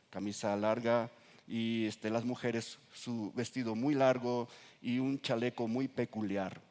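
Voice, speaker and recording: A man with a Mexican accent, a pastor preaching earnestly to his congregation and reading scripture slowly with emphasis. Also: medium pitch. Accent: Mexican Spanish. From Nayarit coast, Mexico. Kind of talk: sermon. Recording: reverberant church hall.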